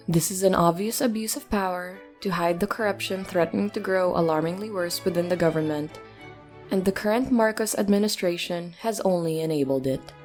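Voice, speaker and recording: A woman presenting a scripted news commentary segment on a podcast in a measured, articulate reading. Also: fairly low pitch, a little mellow.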